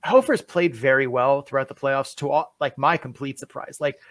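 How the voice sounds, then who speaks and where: A man's voice: medium pitch, moderate pace, fairly bright tone, no room sound. A young man co-hosting a hockey podcast, chatting casually and rattling off lists of players. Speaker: podcast co-host.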